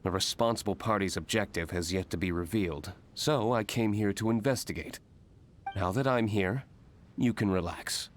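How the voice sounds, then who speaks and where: Guy doing a reserved skeptical game investigator, kinda low voice, formal and composed, music behind. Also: normal speed, a little hiss or hum, close to an everyday voice. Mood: wary, composed. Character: guarded, formal, skeptical young gentleman investigator, quietly considerate. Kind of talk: scripted video game character dialogue.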